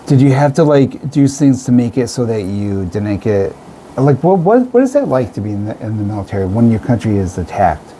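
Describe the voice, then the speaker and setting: A man's voice: fairly low pitch, very animated, brisk, mellow, a little hiss or hum. A man hosting a radio show, chatting casually and asking questions in a gushing, exclamatory way. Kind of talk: casual interview chat.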